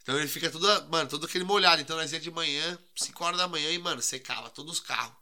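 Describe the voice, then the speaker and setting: A man's voice: on the high side, very fast, sharp tone. A young man, co-hosting a podcast and telling stories in a slangy, rambling way. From Brazil. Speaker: podcast co-host. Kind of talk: casual chat.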